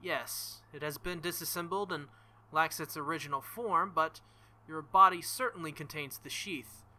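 A man reading aloud as a stern, earnest young woman knight-king, in formal, measured speech without contractions. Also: a little high, pushed and bright. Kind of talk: reading visual novel dialogue aloud.

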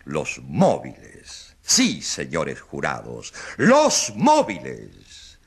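An actor playing a pompous, evasive politician who defends himself with grandiose courtroom oratory in a radio drama. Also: male voice, a bass voice, huge pitch swings, drawn-out words, breathy edge, heavily acted. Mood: indignant, theatrical.

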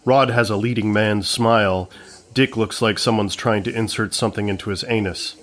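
A man's voice: lowish voice, fairly even intonation, normal speed, a bit bright. A man reading scripted narration as the narrator of a comedy podcast.

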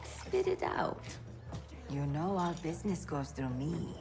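A woman's voice: low voice, slow. An actress playing a shrewd old alien woman who keeps a tavern in a video game, wry and bossy. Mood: teasing, suspicious. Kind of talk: cinematic video game acting. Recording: music under the voice.

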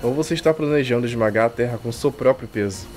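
A man's voice: medium pitch, fast talker. A Brazilian man reading a visual novel aloud as a streamer, with irreverent, slangy commentary. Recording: game music behind the voice. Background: game music.

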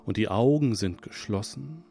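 A man leading a guided hypnosis session, speaking in a calm, soothing, repetitive manner. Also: on the low side, vocal fry. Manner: slow, soothing, hypnotic, repeats phrases. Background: soft background music.

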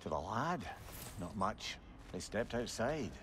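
An actor playing a wry, knowing old advisor in a video game, with a Scottish accent and a counselling manner. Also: male voice, lowish voice, sing-song, slow, heavy background noise. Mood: wry, thoughtful. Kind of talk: dramatic video game acting.

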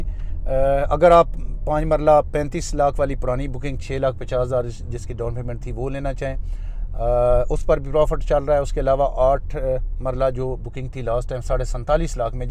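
A man with a Pakistani Urdu accent talks like a real estate agent, pitching plots in a chatty, halting way full of fillers. He narrates casually, as if touring a site, and his voice sits in a middle register for a man. The sound is recorded inside a moving car. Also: a little mellow, slight background noise. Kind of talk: casual narrated drive-through tour.

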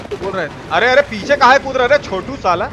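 A man giving excitable, repetitive, slangy live game commentary as a streamer. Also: extremely high pitch, quick pace. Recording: clear background noise.

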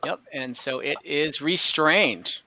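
A young man serves as dungeon master over a video call. His voice is bright, and he works through the rules in an easygoing way. The sound comes through a dull, dry headset line. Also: a little high, takes it slowly, no echo. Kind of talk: running an online tabletop game.

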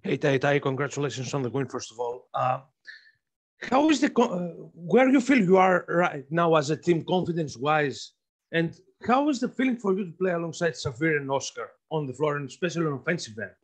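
A male reporter on a laptop video call asks his question haltingly, restarting and rephrasing as he goes. His voice is fairly high and very lively in pitch. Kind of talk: press conference question.

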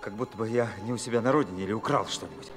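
A man's voice: fairly low pitch, very fast, soft background music, close to an everyday voice. Actor as a weary, indignant man in reverb. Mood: weary indignation. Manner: articulate, aggrieved. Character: weary, indignant educated male traveller. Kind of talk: Soviet radio play acting.

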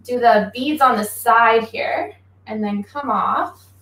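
A young woman giving a relaxed, chatty drawing lesson, explaining each step while she draws at home, speaking away from a laptop mic. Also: fairly high pitch, slow pace, reverberant, rough recording. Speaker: guest athlete teaching drawing. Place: small home room. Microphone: laptop mic from across the room, facing away.

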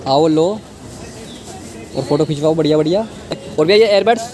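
A man's voice: a little high, moderate pace. Chatty young man vlogging in a crowded market. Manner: chatty, addresses viewers as guys and brother, asks prices and repeats them. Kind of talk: market walk-through vlog. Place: busy outdoor street market. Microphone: handheld phone at arm's length. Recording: loud street crowd behind.

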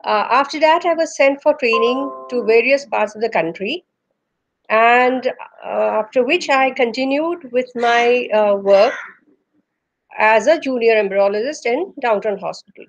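A woman's voice: fairly high pitch, lo-fi sound, a little hiss or hum. Indian woman answering on a video call. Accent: Indian English. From Northeast India. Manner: measured, with frequent "uh" pauses. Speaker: embryologist guest. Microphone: video call webcam.

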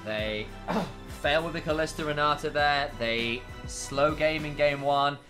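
Energetic male esports co-caster. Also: fairly high pitch, measured pace, faint music behind. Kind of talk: play-by-play commentary.

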